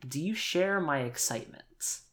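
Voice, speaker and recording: A woman's voice: low-pitched, lively intonation, unhurried. A woman improvising narration for a tabletop roleplaying game, thinking aloud and often repeating her phrases as she finds the words. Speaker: player in an actual play tabletop game.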